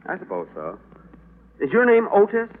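A man's voice: high voice, huge pitch swings, normal speed, phone-quality sound. An actor playing a mild, bumbling male angel with dry, politely smug wit in a 1940s radio play. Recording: scratchy old radio broadcast.

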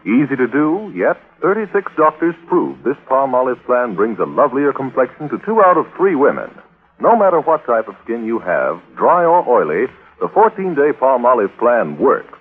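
A man's voice: medium pitch, big pitch swings, moderate pace, slightly rough, phone-quality sound. A man reading a 1940s radio commercial as an announcer, emphatic and punchy. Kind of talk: 1940s radio advertising read. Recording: thin 1940s radio recording.

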